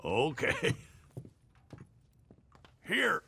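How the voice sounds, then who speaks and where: A gruff Old West lawman in a video game. He talks slowly in a voice full of gravel. Noticeable noise runs behind him. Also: a little high, wildly swooping pitch, sharp tone, heavily acted. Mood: resigned. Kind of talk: video game cutscene acting, western.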